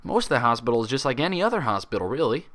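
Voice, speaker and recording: A man's voice: mid-range voice, sing-song, very fast, fairly bright tone. A man voicing a frank, proud, friendly female pony doctor with a folksy way of talking, in a story reading.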